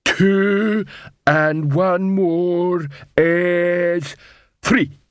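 A man voicing a slow-witted, earnest troll watchman who talks in halting, simple phrases in a fantasy story. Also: sits high, steady tone, dry recording, studio quality, pitched above the performer's own voice. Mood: straining. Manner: halting, labored, simple speech.

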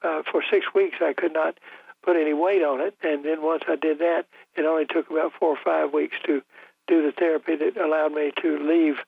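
An older man hosting a call-in radio talk show, talking in a halting way with many fillers and restarts, his voice a little rough. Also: on the high side, little pitch movement, no room sound. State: voice slightly rough after illness. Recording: dry, tinny broadcast audio.

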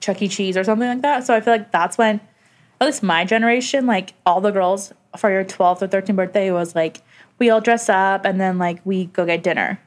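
Young woman in her twenties, casual podcast chat, rambling, quick asides. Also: mid-range voice. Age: 20s. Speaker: podcast co-host.

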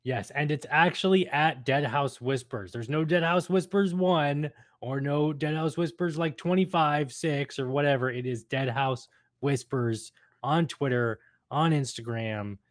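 A man's voice: fairly high pitch, measured pace, dry recording, studio quality. A man co-hosting a casual gaming podcast, talking enthusiastically in a rambling way and repeating phrases for emphasis. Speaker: podcast co-host.

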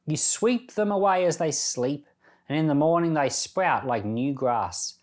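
A middle-aged man giving Bible reading and devotional commentary in an earnest, conversational way with deliberate phrasing. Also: a little high, lively intonation.

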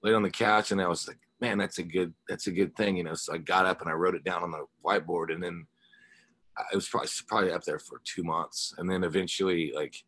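A man's voice: low voice, fairly even intonation, fast talker, a bit bright, slightly rough, dry recording. A man being interviewed as a musician, chatting informally and telling rambling anecdotes. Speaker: musician interview guest, rock singer and guitarist. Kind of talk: casual chat, telling anecdotes.

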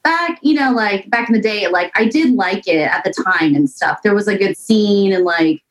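A woman's voice: mid-range voice, moderate pace, poor audio. An American woman from the Seattle area chatting casually as a guest, rambling and hedging as she goes. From suburb of Seattle, US. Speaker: band singer and guitarist, interview guest. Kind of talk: casual chat.

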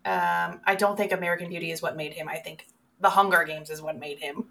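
A woman's voice: lowish voice, fairly even intonation, fairly quick, gravelly, sharp tone, a little hiss or hum. A woman co-hosting a podcast, chatting casually about films in an emphatic, opinionated and sweary way. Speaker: podcast co-host. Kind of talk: casual film chat.

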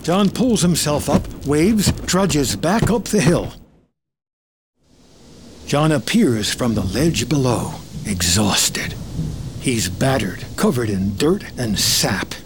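A man's voice: mid-range voice, lively intonation, slow, noisy background. A man reading scripted screenplay narration as the narrator of a drama.

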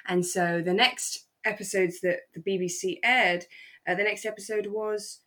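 A woman with a British accent co-hosting a podcast in a relaxed, casual chatting style. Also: mid-range voice, moderate pace. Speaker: podcast co-host. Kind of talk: relaxed casual chat about a radio drama.

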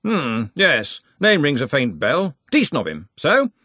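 A man reading an audiobook, voicing a blustering, stubborn old male wizard chancellor with a husky voice. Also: a little high, wildly swooping pitch, a little slow, pushed and bright, no echo, pitched above the performer's own voice, full character voice.

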